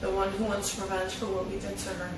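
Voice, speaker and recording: A woman's voice: medium pitch, completely flat delivery, quick pace, noisy background. A woman reading character lines from a drama script in an amateur table read. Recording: echoey room, distant mic, music behind.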